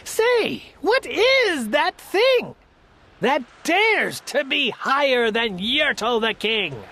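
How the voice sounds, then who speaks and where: A woman voicing a boastful, tyrannical male turtle king in a storybook reading, loud and grandiose. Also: sits high, sing-song, very slow, pitched above the performer's own voice, heavily acted. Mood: indignant.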